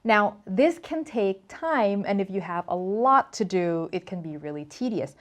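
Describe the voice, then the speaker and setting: A woman's voice: medium pitch, lively intonation, unhurried. A woman from Thailand hosting a casual cooking tutorial, talking to camera in a chatty, playful, emphatic way. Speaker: cooking show host.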